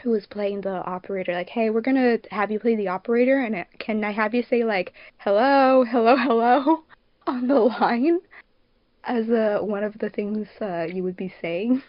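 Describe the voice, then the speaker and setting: A woman's voice: a little high, moderate pace, no room sound. Dry, muffled woman in casual podcast chat. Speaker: podcast co-host, fandub production crew member and editor.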